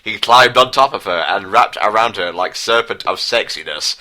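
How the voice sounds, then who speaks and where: Male narrator, lowish and punchy, mock-serious read of comic melodrama. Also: normal speed, bright, forceful tone. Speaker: narrator and co-host. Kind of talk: comedic dramatic story narration.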